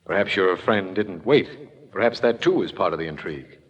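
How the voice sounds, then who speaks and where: An actor playing a detective's dry, practical companion, speaking matter-of-factly in a 1940s radio drama. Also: male voice, sits low, animated, a little fast, background noise. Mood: dry, teasing. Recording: dull, lo-fi 1940s radio recording.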